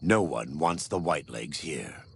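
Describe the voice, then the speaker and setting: A devout, vengeful former warlord in a video game. His voice is extremely low, with a heavy gravelly creak. He speaks with solemn, measured, preacherly conviction, over faint background noise. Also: expressive, heavily acted. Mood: grave, persuasive. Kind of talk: video game dramatic dialogue.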